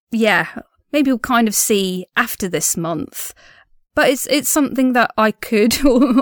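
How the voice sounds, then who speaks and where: A woman talks through a casual audio diary in a medium-pitched voice. She is chatty and self-effacing, feeling her way through her thoughts a little hesitantly. The sound is dry and close, with no room around it. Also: no room sound. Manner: giggles often while talking. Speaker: podcast diarist and audio drama creator.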